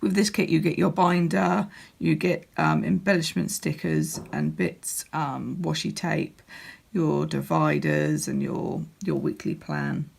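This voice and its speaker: A woman with a croaky voice, chatting casually as she walks through a crafting catalogue, with lots of ums and restarts. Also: low voice, expressive, a little slow, darkish tone. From United Kingdom. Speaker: crafting demonstrator. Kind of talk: casual product walkthrough.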